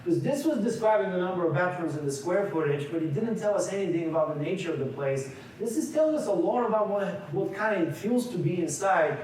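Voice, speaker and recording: A man's voice: high voice, lively intonation, a little mellow, a little hiss or hum. Bulgarian-born man lecturing in echoey hall. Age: 40s. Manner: conversational, quick restarts, asks the room questions. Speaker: workshop leader. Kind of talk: interactive seminar lecture. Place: large echoey meeting room. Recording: echoey room recording from far off.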